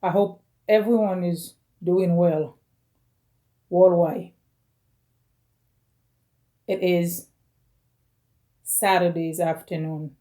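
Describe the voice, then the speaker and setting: Slow-speaking woman in her forties. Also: on the low side, fairly even intonation, warm tone. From Caribbean. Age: 40s. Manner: slow, halting, phrase by phrase. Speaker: vlogger. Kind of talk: personal video message.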